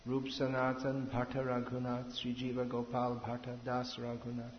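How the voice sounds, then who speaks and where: Man lecturing slowly in a big reverberant hall, muffled lo-fi sound, halting delivery. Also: medium pitch, monotone. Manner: slow, halting, with pauses and restarts. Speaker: speaker giving a spiritual lecture. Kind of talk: slow philosophical lecture. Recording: echoey hall, lo-fi with faint noise.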